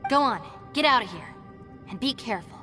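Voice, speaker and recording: An actress playing a calm, dependable young female fighter in a video game, speaking with composed reassurance. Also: a little high, brisk, in a large hall, soft background music. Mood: calm, reassuring. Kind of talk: English video game voice acting.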